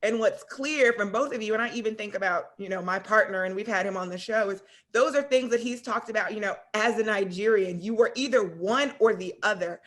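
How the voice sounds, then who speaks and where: A woman co-hosts a podcast conversation with a medium-pitched voice. She talks in an earnest, reflective way and often checks in with her listeners and guests. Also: fairly quick, few pauses, fairly bright tone. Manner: earnest, reflective, uses fillers like "right?" and "you know". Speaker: podcast co-host.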